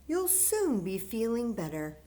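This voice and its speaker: Woman voicing an anxious, high-pitched little fox cub. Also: sing-song, unhurried, warm tone. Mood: worried, earnest. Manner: earnest, worried, pleading. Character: anxious, earnest young male fox cub. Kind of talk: children's storybook character voice.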